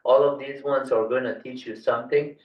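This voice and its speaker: A man born in Afghanistan whose first language is Dari, giving a tech tutorial over a screen share, halting with many fillers and restarts. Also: mid-range voice, fairly even intonation. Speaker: tutorial instructor. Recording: roomy, distant, dull lo-fi mic.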